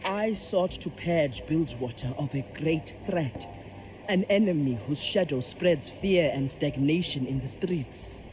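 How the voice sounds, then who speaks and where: A woman's voice: deep voice, a little slow, background noise. A woman playing a proud, commanding and devout kraken priestess in a video game, solemn and declamatory. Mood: troubled. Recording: music behind the voice.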